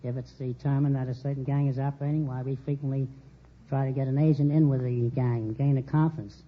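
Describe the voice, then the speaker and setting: An older man from New York City answering as a quiz show contestant in an earnest, halting, formal way. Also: medium pitch, fairly even intonation. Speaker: contestant, retired Secret Service agent. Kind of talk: unscripted quiz show interview. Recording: muffled vintage radio with hiss.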